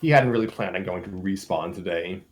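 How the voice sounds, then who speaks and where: A man's voice: deep voice, fairly quick. A man narrating a story in a dramatic read-aloud, as one performer in an informal group reading. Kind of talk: dramatic reading of fan fiction with slips and asides.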